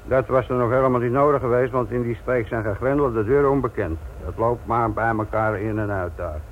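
A gruff senior detective, played by a man in a radio drama, lectures his trainees with dry sarcasm and firm authority. His voice sits at an ordinary male pitch, heard through a narrow, muffled recording with faint noise. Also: a little fast, lightly acted. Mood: wry, narrating. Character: gruff, sardonic senior police detective instructing trainee officers. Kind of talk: radio play acting. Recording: muffled, noisy old radio play recording.

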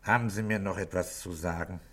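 A police chief inspector in a 1960s German radio drama. His voice is deep yet bright and forceful. He is patient and authoritative, putting short, probing questions and giving commands. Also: steady tone, a little fast, slightly rough.

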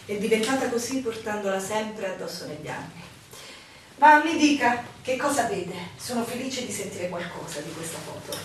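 Actress as a melancholy innkeeper's wife, distant and echoey. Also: female voice, medium pitch, animated, moderate pace, lightly acted. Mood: wistful, confessional. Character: melancholy innkeeper's wife, no longer young, devoted to lost lover. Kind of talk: stage-like radio drama acting. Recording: echoey room, distant mic, faint noise.

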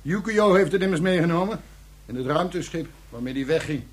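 A man's voice: fairly high pitch, animated, brisk, slightly rough. A man playing a scornful, hot-headed captive with a sarcastic, insolent manner in a science fiction radio drama.

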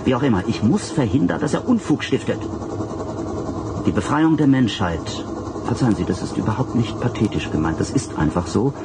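An actor playing a middle-aged, embittered state secretary in a radio drama, speaking formally and emphatically with learned words. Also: male voice, mid-range voice, lively intonation, normal speed, natural voice. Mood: insistent. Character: embittered, principled male state secretary opposed to occupation. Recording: loud vehicle noise behind.

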